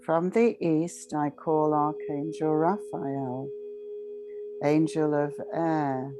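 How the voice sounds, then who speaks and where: A woman leading a guided meditation in a solemn, ceremonial way, speaking in short, measured phrases with long pauses. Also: sits low, fairly even intonation, mellow, low-quality recording.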